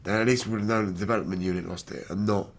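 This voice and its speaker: A British man in his twenties with a rough, damaged voice, speaking candidly as a wheelchair rugby player in an interview. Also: on the low side, fairly even intonation, rapid-fire. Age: 20s. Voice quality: damaged, rough voice from throat surgery. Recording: background noise on location.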